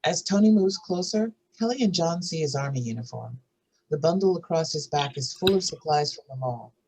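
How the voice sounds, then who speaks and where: Casting: male narrator, husky, reads screenplay directions aloud. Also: fairly high pitch, lively intonation, brisk, a little mellow, poor audio. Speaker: narrator reading stage directions. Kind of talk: scripted narration.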